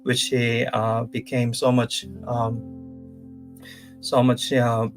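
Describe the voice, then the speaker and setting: A man in his thirties from South Korea, speaking English with a Korean accent as a career coach giving a live-streamed lecture, halting with many fillers and restarts. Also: mid-range voice, completely flat delivery, music in the background, rough recording.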